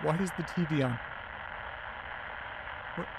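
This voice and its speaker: A man acting in an audio drama as a frightened, hungover man alone in the dark, halting and repeating himself as he swears. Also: on the high side, noisy background. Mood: tense, wary.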